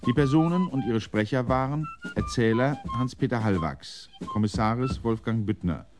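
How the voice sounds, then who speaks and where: A man's voice: mid-range voice, animated, moderate pace. A man formally reading the title and cast credits of a radio drama as its announcer. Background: clear music, flute.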